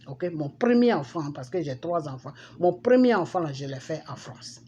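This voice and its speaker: A middle-aged woman with an Ivorian accent delivering an impassioned, forceful political monologue, scolding and repeating phrases for emphasis. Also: low-pitched, expressive, normal speed, lo-fi sound. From Ivory Coast. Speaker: political commentator. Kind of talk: impassioned political monologue addressed to public figures. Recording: noisy amateur recording with background ambience.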